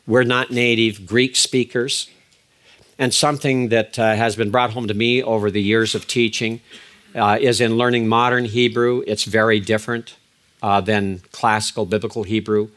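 An older man giving a lecture as a biblical Hebrew teacher, measured and emphatic, with frequent fillers and restarts. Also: fairly low pitch, steady tone, fairly bright tone. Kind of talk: recorded lecture.

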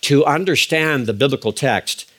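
An older man giving a lecture as a biblical Hebrew teacher, measured and emphatic, with frequent fillers and restarts. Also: mid-range voice, a bit bright. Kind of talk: recorded lecture.

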